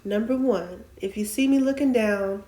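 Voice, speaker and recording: A woman in her late twenties talking casually to her viewers in a personal vlog, soft-spoken, with frequent ums and self-corrections. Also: medium pitch, normal speed, poor audio. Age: 20s. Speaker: vlog host. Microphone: camera mic, sitting and reading from notes.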